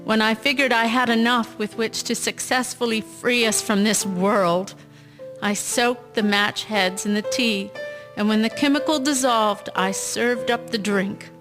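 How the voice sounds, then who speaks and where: A woman's voice: on the high side, fairly even intonation, measured pace, over music, lightly acted. A woman playing a desperate, grief-stricken rural mother of the early 1900s in a radio drama. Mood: cold confession. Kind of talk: scripted radio-drama acting.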